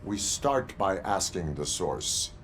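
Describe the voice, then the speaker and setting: An actor playing a stoic cyborg police officer in a video game, speaking in a deadpan, clipped, formal way. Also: male voice, low voice, slow pace, a little hiss or hum, heavily acted. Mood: stoic, terse. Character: stoic cyborg police officer, adult male, terse and menacing.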